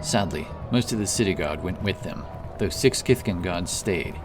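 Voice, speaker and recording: A man narrating a fantasy story in a measured, solemn, dramatic storytelling manner. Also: low voice, animated, music in the background. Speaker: audiobook narrator.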